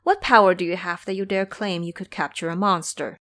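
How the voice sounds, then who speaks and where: A woman's voice: on the low side, fairly even intonation, fairly quick, fairly bright tone, natural voice. A woman voicing a proud, sorrowful captive princess in an audio drama, speaking in an earnest, formal and dramatic way. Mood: scornful.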